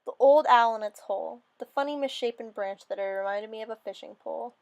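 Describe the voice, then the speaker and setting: A woman with a fairly high voice reads a storybook tale aloud. Her delivery is theatrical and expressive, in the manner of a dramatic storyteller narrating in the first person. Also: a little fast, muffled recording. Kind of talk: dramatic reading of a fairy story.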